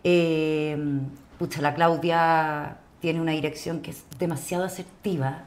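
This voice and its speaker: A Chilean woman speaks in a slightly low voice. She talks casually as a podcast guest, pausing and assembling her thoughts piece by piece. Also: fairly even intonation. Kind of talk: casual conversational interview.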